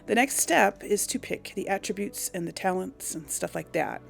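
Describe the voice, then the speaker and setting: A woman's voice: medium pitch, animated, normal speed, gravelly. A woman hosting a casual game commentary, talking informally to her audience and thinking aloud as she rambles along. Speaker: gaming host. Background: music.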